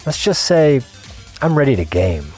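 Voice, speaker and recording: A man's voice: medium pitch, very animated, moderate pace, a little mellow, soft background music, lightly acted. A man playing an earnest, obsessive gamer in an audio drama, confiding his play session in short, broken-up phrases. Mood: settled, eager. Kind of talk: first-person scripted audio drama narration.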